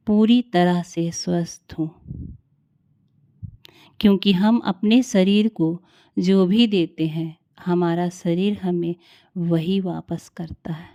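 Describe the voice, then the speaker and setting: A woman leading a guided meditation in a calm, soothing, unhurried way with long pauses. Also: fairly low pitch, dry recording, clean studio sound.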